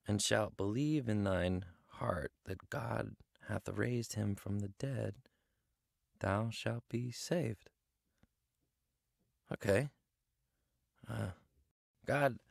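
A man's voice: lowish voice, creaky, dry recording, studio quality. A man in his twenties narrating his own story reflectively in a radio drama. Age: 20s. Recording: narration over music.

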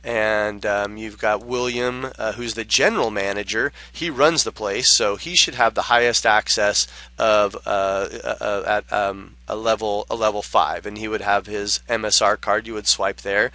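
Low-voiced man explaining software, halting delivery. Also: fairly even intonation, dry recording. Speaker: software trainer. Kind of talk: unscripted software tutorial narration.

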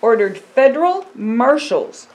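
A woman in her sixties who is a pastor, reading a picture book aloud in simple, deliberate phrases and explaining it as to a child. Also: a little high, sing-song, a little mellow. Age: 60s. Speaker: pastor and storytime reader. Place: indoor storytime set by a fireplace.

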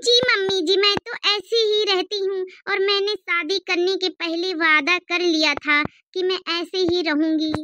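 A woman's voice: very high-pitched, fairly even intonation, a little slow, hardly any pauses, dull audio, noisy background. A woman voicing a brash, defiant young city bride in a comedy cartoon, speaking in a curt and haughty manner. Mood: self-assured.

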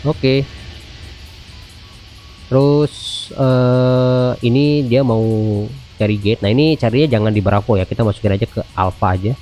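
An Indonesian man in his thirties talks through a game in a soft, mellow voice. His delivery is casual and chatty, slipping into English radio-style phrases, with faint music behind him. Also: medium pitch, measured pace, long pauses. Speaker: gaming video host. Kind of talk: casual gameplay commentary. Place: indoors at a computer, music behind.

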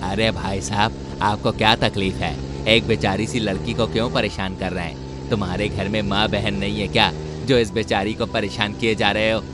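A man's voice: lowish voice, fairly even intonation, normal speed, lightly acted. Concerned cartoon passenger, played by a man, decent and reproachful, music behind.

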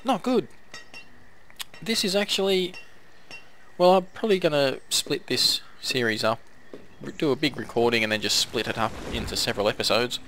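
A man giving casual commentary while playing a strategy game, hoarse and coughing now and then as his voice wears out, chatting to his villagers as he goes. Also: mid-range voice, normal speed, fairly bright tone, slight background noise, poor audio. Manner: chatty, talks to the game's villagers. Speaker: gameplay commentator. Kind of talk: casual let's-play commentary.

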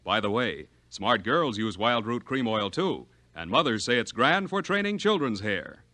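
An American man announcing in 1940s radio style, reading a scripted sales pitch with polished emphasis. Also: big pitch swings, moderate pace, sharp tone, faint music behind. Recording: old 1940s radio broadcast.

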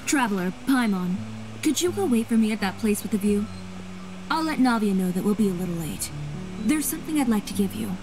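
An actress playing a confident, blunt young fashion designer in a video game, composed and matter-of-fact. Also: female voice, medium pitch, lively intonation, a little fast, music in the background, lightly acted, poor audio.

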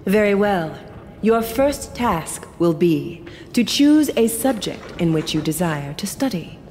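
A woman's voice: mid-range voice, expressive, slow pace, a little mellow, slight background noise. An actress playing a kindly, scholarly lady mentor in a fantasy audio drama, speaking formally and graciously. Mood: approving, measured. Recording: long hall reverb, music behind.

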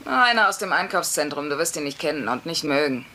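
A woman's voice: deep voice, expressive, fast talker, pushed and bright, full character voice. Middle-aged woman, blunt sitcom housewife, sardonic, noisy TV soundtrack. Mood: bossy. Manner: sardonic, bossy. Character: blunt, bossy, wisecracking working-class housewife and mother. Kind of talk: German-dubbed sitcom acting.

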